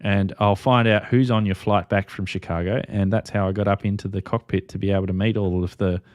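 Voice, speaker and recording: An Australian man with a low, smooth voice talks casually as a host. His delivery is chatty and informal, and the recording is dry and close. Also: steady tone, a little fast, darkish tone, no room sound. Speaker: host of a ham radio livestream. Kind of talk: casual group chat.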